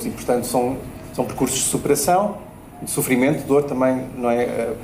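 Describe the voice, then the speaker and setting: A man with a European Portuguese accent speaks as a debate panelist, pausing often with fillers and tag questions. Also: medium pitch, dark tone. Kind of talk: public panel discussion. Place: event space at a bookshop. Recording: roomy hall with faint noise.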